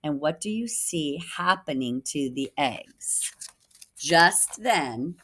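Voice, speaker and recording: A woman reading a picture book aloud for children in an animated way, with asides to listeners. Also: sits low, slow pace. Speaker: children's storybook reader, teacher. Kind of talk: expressive read-aloud for children.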